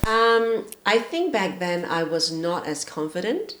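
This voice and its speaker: A woman, chatting as an interview guest in a thoughtful, soft-spoken way with many um fillers. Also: on the low side, very animated, unhurried. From Hong Kong. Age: 40s. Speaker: guest, retail and education manager. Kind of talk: casual interview chat. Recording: roomy echo.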